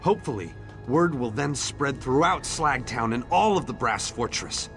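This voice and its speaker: A man acts out an earnest young townsman from a fantasy video game, hopeful and grateful, set on defying fate. His voice sits at a middling pitch and has a declamatory streak. Music plays softly behind him. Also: expressive, normal speed. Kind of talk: scripted video game dialogue. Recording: background music under the voice.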